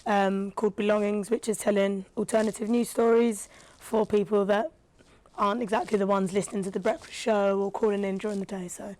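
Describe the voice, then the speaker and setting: A woman, a rapper and radio presenter, talking casually as a guest with a slightly raspy, tired voice and lots of fillers. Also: mid-range voice, flat delivery, a little fast, a little mellow, dry recording, low-quality recording. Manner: chatty, thoughtful, with frequent fillers. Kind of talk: casual podcast conversation.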